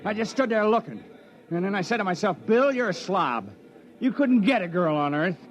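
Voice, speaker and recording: An actor playing an eager, self-doubting, folksy miner in a 1950s radio drama. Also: male voice, high-pitched, animated, normal speed. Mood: nervous eagerness. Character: excitable, self-doubting, humble male miner awaiting a bride. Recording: vintage radio, loud crowd behind.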